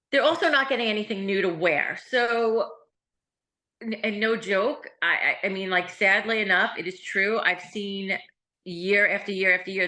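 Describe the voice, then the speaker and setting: Bright-voiced, earnest woman. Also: mid-range voice, rough recording. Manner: earnest, plain-spoken, frequent fillers and restarts. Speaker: nonprofit founder, interview guest. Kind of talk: conversational interview answers.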